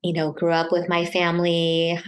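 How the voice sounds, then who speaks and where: A middle-aged woman born in Taiwan, talking casually as an interview guest, often pausing and restarting her sentences. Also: lowish voice, completely flat delivery. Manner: hesitant, many restarts and fillers.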